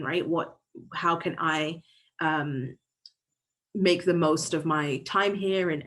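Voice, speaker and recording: A woman facilitating an online workshop over a video call, speaking thoughtfully with many fillers and restarts. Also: deep voice.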